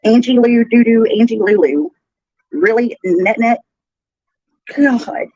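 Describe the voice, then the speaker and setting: A woman's voice: fairly high pitch, slow, a little mellow, dry recording. A woman chatting casually on a live stream in a giggly, crude, incredulous manner. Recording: dull, lo-fi replayed stream audio.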